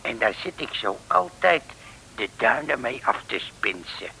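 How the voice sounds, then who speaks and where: An actor playing a simple, chatty lighthouse keeper in an old comic radio serial, telling things in an earnest, folksy way. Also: male voice, mid-range voice, sing-song, a little slow, creaky, bright, forceful tone, heavily acted, rough recording. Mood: mysterious, earnest. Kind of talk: 1960s Dutch comic radio serial acting. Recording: hissy old radio recording.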